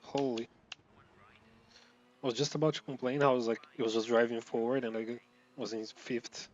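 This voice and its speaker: A man from Brazil commenting casually while playing a racing game, rambling, running words together, swearing, with loud outbursts at his mistakes. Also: medium pitch, little pitch movement, moderate pace, muffled recording, a little hiss or hum. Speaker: gameplay streamer.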